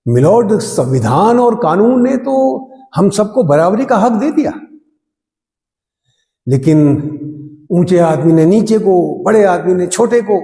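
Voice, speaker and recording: Male defence lawyer, acted, stage courtroom monologue: high-pitched, widely swinging intonation, oratorical and bitterly ironic, ringing echoey room. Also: expressive, warm tone. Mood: impassioned. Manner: oratorical, emphatic repetition, dramatic pauses. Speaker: actor performing an audition monologue.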